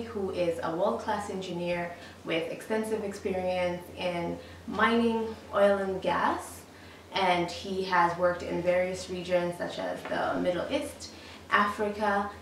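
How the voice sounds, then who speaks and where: A woman hosting an interview, asking measured questions and encouraging the guest with frequent short replies. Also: fairly low pitch, fairly even intonation. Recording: echoey room, distant mic, faint noise.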